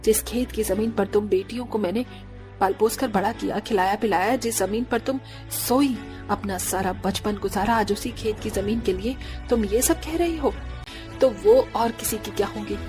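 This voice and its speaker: A woman's voice: medium pitch, moderate pace, over music, natural voice. A woman voicing a poor, devoted village mother in a cartoon, speaking earnestly and sternly. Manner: earnest, emotional.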